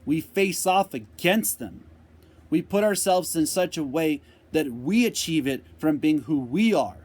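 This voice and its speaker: A man giving an unscripted motivational talk, speaking slowly and deliberately with repeated phrases, answering a viewer's question. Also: a little high, animated, a little mellow. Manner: slow, deliberate, repetitive phrasing.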